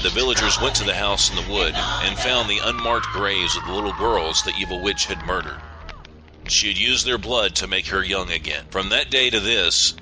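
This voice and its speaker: A man's voice: lowish voice, background noise. A man who hosts a podcast, reading a scripted horror story as its narrator. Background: music.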